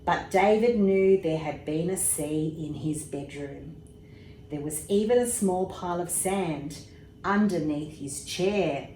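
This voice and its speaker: Slow-paced woman reading a bedtime story, roomy echo. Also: lowish voice. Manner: unhurried, expressive, pauses for asides about pictures. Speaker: storybook reader, emergency services commissioner. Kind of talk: bedtime story read aloud to young children. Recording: roomy echo with faint background noise.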